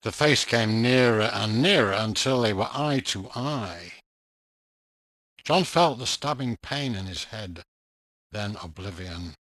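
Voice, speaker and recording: Male narrator for a ghost story, suspenseful and measured, expressive pitch, dry close sound. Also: no room sound.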